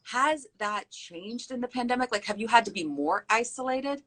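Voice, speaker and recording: A woman's voice: fairly high pitch, animated, normal speed, a bit bright. A young adult woman hosting a casual interview, affirming and asking probing questions. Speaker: podcast host.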